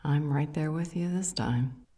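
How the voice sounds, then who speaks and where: A woman acts out an earnest female werewolf in a fantasy audio drama. Her voice sits low and is dark and mellow, recorded close and dry. She speaks seriously, as someone worried about her duty. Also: a little slow, husky, no echo. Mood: wary. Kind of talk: fantasy audio roleplay acting.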